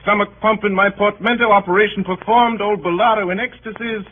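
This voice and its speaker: Through a tinny, noisy old radio recording comes a man acting a high-voiced, glib chancer. He boasts in clipped telegraphic bursts, piling one absurd tall tale on another in the breezy manner of 1930s radio comedy. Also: fairly even intonation, fairly quick, lightly acted. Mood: theatrical, glib.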